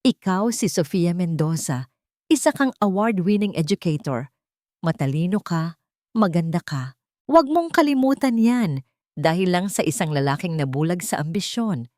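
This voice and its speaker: A woman narrator plays a protective Filipina best friend in a storybook drama. She is emphatic and urging, loyal and quick to indignation. The recording is dry and close. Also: fairly low pitch, sing-song, a little mellow, no room sound. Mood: firm, urging.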